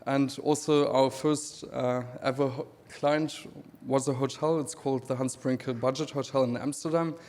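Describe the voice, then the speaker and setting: A man in his thirties from Namibia with a German accent, giving a relaxed conference talk with dry humor and many pauses. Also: mid-range voice. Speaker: speaker presenting his creative work. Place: event hall. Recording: reverberant hall.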